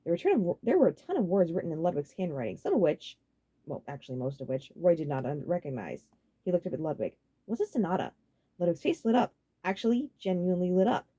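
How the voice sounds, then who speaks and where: A woman reading a story aloud as an audiobook narrator, in an expressive, dramatic style. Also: lowish voice, fast, highs cut off.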